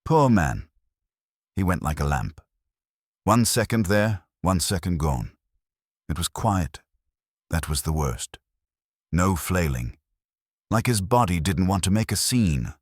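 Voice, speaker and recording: Sharp, opinionated florist woman voiced by a man, low. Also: big pitch swings, takes it slowly, long pauses, dry recording, clean studio sound, heavily acted. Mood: matter-of-fact. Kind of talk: audiobook character dialogue.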